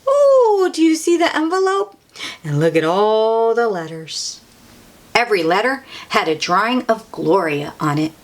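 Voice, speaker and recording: A woman reading a picture book aloud to children in an expressive way, drawing out words and adding chatty asides for young listeners. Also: fairly high pitch.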